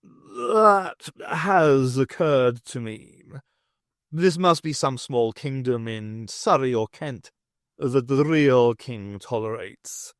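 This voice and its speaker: A man's voice: mid-range voice, slow, dry recording, clean studio sound, lightly acted. Man voicing an anxious, formal talking tomcat. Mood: uneasy, perplexed. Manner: formal, old-fashioned phrasing. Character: anxious, baffled talking male cat companion.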